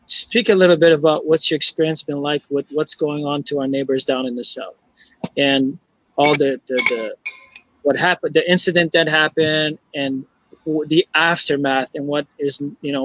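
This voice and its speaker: A man hosting a podcast, framing his questions in a halting way with many restarts. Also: on the high side, a bit bright, no room sound, rough recording. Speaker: podcast host. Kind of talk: casual interview questions.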